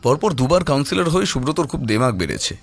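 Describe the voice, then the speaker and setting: A man's voice: fairly high pitch, expressive, very fast, creaky, faint music behind. A man narrating a scripted horror story in a dramatic storytelling style.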